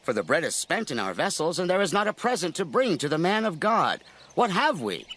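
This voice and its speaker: Young male seeker: earnest, fairly high, animated scripture drama delivery. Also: a little fast. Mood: earnest concern. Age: young adult. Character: Saul, earnest young man seeking lost livestock.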